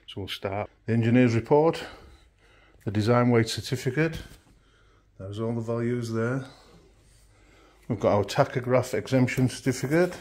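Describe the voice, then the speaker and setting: A man's voice: on the low side, a little mellow. Chatty middle-aged man, throaty. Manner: chatty, matter-of-fact walkthrough. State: throaty from a chest infection. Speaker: van life vlogger. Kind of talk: casual how-to vlog. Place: home kitchen indoors.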